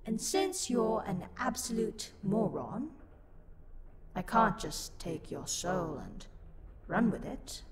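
Woman playing a haughty tsundere demoness, scornful, unhurried delivery, medium pitch, condescending, theatrical audio roleplay. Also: very animated, noisy background. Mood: disdainful. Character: livid, haughty, sharp-tongued tsundere female demon. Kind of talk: scripted roleplay audio acting.

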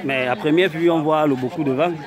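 A man's voice: on the high side, fairly even intonation, a little fast. A man interviewing passers-by on a street, teasing and laughing often. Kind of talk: vox pop street interview. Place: outdoors on a busy campus street. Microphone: handheld phone held out to the guest. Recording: busy crowd chatter behind.

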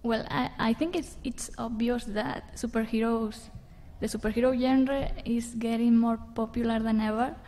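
A young woman giving a careful, halting answer as a panelist at a conference. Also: a little high, steady tone, mellow. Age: young adult. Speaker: panelist, comic creator. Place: conference room stage. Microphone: table microphone on stand.

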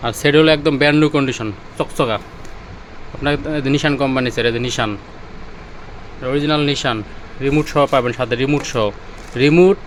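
A man's voice: mid-range voice, fairly quick, long pauses, a little hiss or hum, low-quality recording. A man with a Bangladeshi Bengali accent giving an informal, repetitive off-the-cuff sales demonstration, pointing things out as he goes. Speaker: seller demonstrating used car audio-video equipment.

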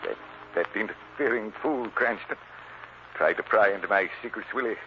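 An actor playing a weird, frightened old swindler with a nervous stammer, in old radio drama style. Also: male voice, on the low side, moderate pace, close to an everyday voice. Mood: muttering, gloating. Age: older. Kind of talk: 1940s radio drama acting. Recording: muffled, noisy old radio recording.

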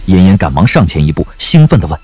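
Deep-voiced, animated male story narrator. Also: fast talker, husky, soft background music. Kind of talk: dramatic scripted story narration.

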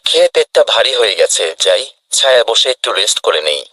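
Boastful cartoon rabbit, played by a man, bright low voice with very animated, exclamatory delivery. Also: quick pace, no room sound, exaggerated voice. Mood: smug, tired. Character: vain, boastful male rabbit in a race. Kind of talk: children's cartoon dubbing.

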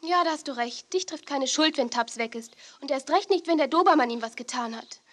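A woman's voice: high-pitched, a little fast, a bit bright. A woman playing a caring, sensible young woman, a reassuring friend, in a children's audio drama. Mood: worried.

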